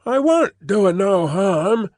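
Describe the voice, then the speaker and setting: Man putting on a high, excitable boy. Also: slow pace, no echo, studio quality, heavily acted. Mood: protesting. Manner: exclaiming, ungrammatical. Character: excitable, cheeky London boy, working-class son.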